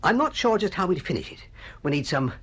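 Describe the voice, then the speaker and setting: An actor in a radio drama playing a middle-aged film producer, husky, shrewd and persuasive, with clipped, emphatic sales patter. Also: male voice, sits high, big pitch swings, fairly quick, a bit bright, heavily acted. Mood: musing, enthused.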